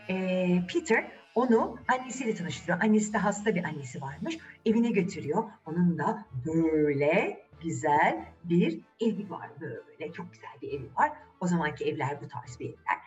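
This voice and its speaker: A woman hosts a children's fairy tale with a very animated, swooping delivery. She chats directly to young listeners and asks them questions. The sound is a little distant and dull, with faint music behind her. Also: medium pitch, moderate pace. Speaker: storyteller and channel host.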